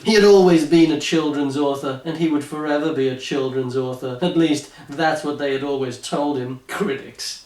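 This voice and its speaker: Male storyteller, higher-pitched, mock-grandiose comic narration, recorded in an echoey room. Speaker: author reading own book aloud. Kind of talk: comic audiobook-style narration. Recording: roomy echo, distant from mic.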